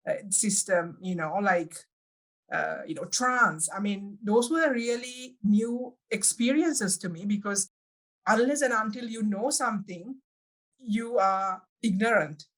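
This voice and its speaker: A woman from Sri Lanka, a guest in an interview, giving reflective answers and often pausing to find her words. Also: mid-range voice, rough recording. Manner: thoughtful, pauses often to search for words.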